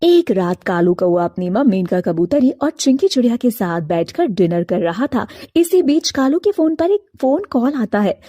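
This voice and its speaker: A woman narrating a scripted animated moral story as its storyteller. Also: a little high, no echo, studio quality.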